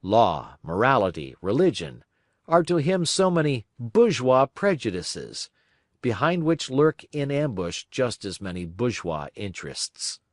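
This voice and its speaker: A man reading a political text aloud as an audiobook narrator, phrasing deliberately with emphatic pauses. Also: mid-range voice, sing-song, dry recording, studio quality. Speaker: audiobook reader.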